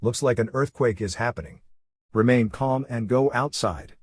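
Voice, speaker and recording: A man reading a scripted emergency broadcast as an announcer, in a formal and measured manner. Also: medium pitch, husky.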